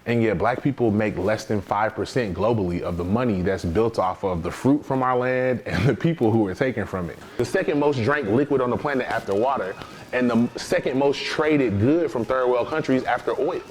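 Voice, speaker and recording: A man's voice: medium pitch, brisk. A man giving conversational interview answers as a small business owner.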